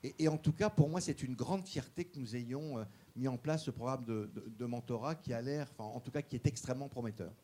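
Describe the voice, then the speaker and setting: Guy giving a speech at a formal session, mid pitch, keeps stumbling and repeating words, earnest. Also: a little fast, hardly any pauses, dark tone. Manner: earnest, stresses key points. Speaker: guest speaker promoting a mentoring program.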